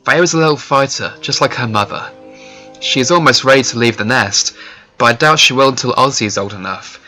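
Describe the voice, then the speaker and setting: A man voicing a guarded, protective father dragon in an animated fantasy series, with a measured, dry delivery. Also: mid-range voice, faint music behind, natural voice. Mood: serious, protective.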